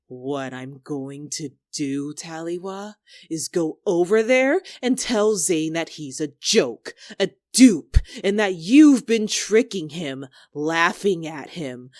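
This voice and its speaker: A woman's voice: on the low side, no echo, clean studio sound. A woman playing a domineering, scornful young female squad leader in a dramatic argument, biting, sarcastic and commanding. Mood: menacing, mocking. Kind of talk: dramatic two-character argument performance.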